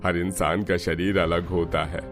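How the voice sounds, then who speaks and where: A man's voice: low voice, flat delivery, a little slow, fairly bright tone, faint music behind. A man voicing a stern family elder who gives firm instructions in a cartoon dub. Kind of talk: cartoon dubbing.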